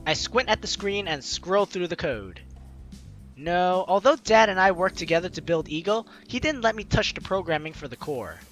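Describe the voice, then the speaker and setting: High-pitched, bright young man doing game commentary. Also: expressive, moderate pace. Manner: informal, jokey, chatty asides. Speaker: host of a gaming let's play series. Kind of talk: let's play commentary, reading game text aloud. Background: game music.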